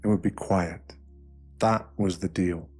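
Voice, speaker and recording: A British man with a deep, low voice reads a guided meditation. He speaks slowly and softly in short, soothing phrases, leaving space between them. Music plays behind him. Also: steady tone, slightly rough. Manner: slow, hushed, soothing delivery with short phrases and pauses. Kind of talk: ASMR guided meditation, scripted narration.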